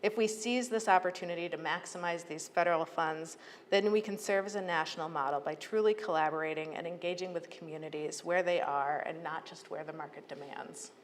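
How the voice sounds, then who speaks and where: A woman's voice: lowish voice, a little fast. An American woman giving an earnest, persuasive prepared speech as a public agency leader, with emphatic delivery. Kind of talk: prepared advocacy speech to a conference. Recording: echoey hall, clear background noise.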